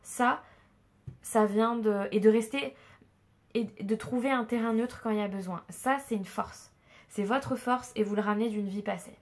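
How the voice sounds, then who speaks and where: Woman, head cold, nasal and stuffy; chatty tarot reader, halting, lots of "euh". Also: fairly high pitch, steady tone. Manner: hesitant, many fillers and restarts. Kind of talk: casual spoken tarot reading to viewers.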